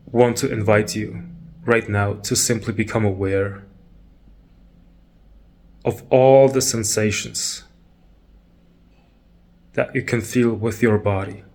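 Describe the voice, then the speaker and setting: A man leading a guided meditation talk, calm and measured, pausing between short phrases. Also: fairly low pitch.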